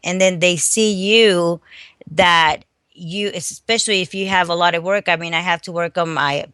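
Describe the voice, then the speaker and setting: Woman, middle-aged, bright voice, dry close sound, halting with restarts. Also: on the low side, steady tone, smooth, dry recording. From Dominican Republic. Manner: hesitant, many restarts. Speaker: fitness competitor and coach. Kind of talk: phone interview guest. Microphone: phone call.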